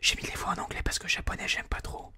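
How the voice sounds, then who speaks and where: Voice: fast, sharp tone, no echo. A person whispering informal, hesitant gameplay commentary in an ASMR style, thinking aloud close to a binaural microphone. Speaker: video game streamer, ASMR host.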